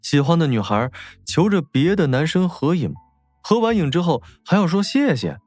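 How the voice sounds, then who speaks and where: Male narrator, dramatic audiobook style, fairly high lively voice, faint music behind. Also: normal speed, no room sound. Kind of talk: scripted dramatic narration of a novel.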